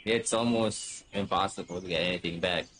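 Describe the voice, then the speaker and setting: A man's voice: low-pitched, fairly even intonation, moderate pace, a bit bright, slight background noise, poor audio. A middle-aged man chatting casually and wryly as he streams a game, rambling along. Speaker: gaming streamer. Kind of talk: casual chat over gameplay.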